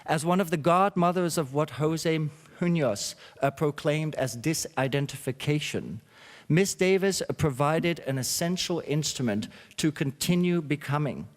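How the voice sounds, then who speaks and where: Host at a talk: man, a bit high-pitched, halting delivery, reverberant hall. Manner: halting, with frequent uh fillers. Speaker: symposium host. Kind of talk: read introductory speech.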